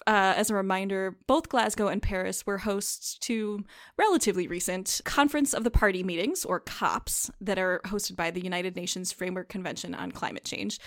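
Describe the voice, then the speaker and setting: A woman hosting a podcast, reading a measured scripted introduction and then interviewing her guest. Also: medium pitch, a bit bright, no echo, clean studio sound. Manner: measured, with small filler restarts. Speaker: podcast host.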